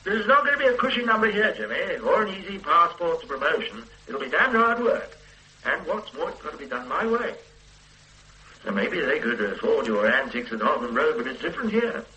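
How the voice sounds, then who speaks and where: An actor playing a stern middle-aged police boss who lectures and mocks a junior officer, in radio drama style. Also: male voice, on the high side, very animated, a little fast, bright, forceful tone, poor audio. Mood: lecturing. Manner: lecturing, brusque, mocking. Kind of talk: 1980s radio drama acting.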